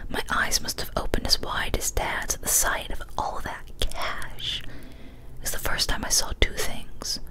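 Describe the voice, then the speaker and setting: A young woman whispers a horror story in an ASMR style. Her voice is breathy and unvoiced, kept soft and hushed right up at a studio microphone. She reads with careful, deliberate phrasing. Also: poor audio. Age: young adult. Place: small decorated indoor room. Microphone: large studio microphone, close up.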